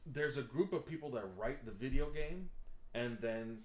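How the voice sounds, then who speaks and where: A man on a podcast in a casual chat, explaining his view in a lively, explanatory manner. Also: mid-range voice, normal speed, a little hiss or hum.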